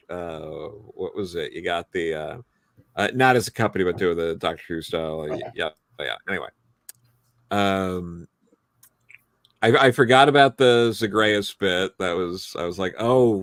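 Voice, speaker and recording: Low voiced dude, real slow and hesitant, wanders around, casual podcast chat, dry close sound. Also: male voice, no room sound. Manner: hesitant, meandering. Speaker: podcast panelist.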